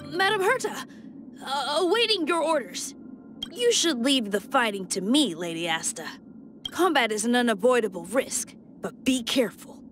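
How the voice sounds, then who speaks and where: A man's voice: extremely high pitch, big pitch swings, normal speed. A man voicing a dutiful, earnest young guard in an anime game, polite and cautious. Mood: dutiful, careful. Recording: music behind the voice.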